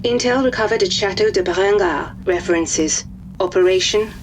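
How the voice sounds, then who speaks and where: A woman's voice: medium pitch, normal speed, natural voice. A woman playing a formal, detached wartime intelligence officer giving a clipped military briefing in a video game. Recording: noisy briefing audio.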